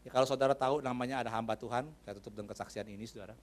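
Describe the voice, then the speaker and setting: A man's voice: medium pitch, steady tone, rapid-fire. A man preaching a sermon in a church. He talks to the congregation in a conversational way, addresses them often and asks them questions. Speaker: pastor.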